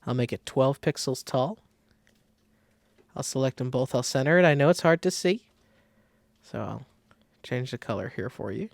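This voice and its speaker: A man giving a casual software tutorial, talking informally and thinking aloud as he works, with a creaky, gravelly voice. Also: medium pitch, lively intonation, normal speed, pauses often, no echo. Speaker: design software tutorial host. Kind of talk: casual screen-recorded tutorial.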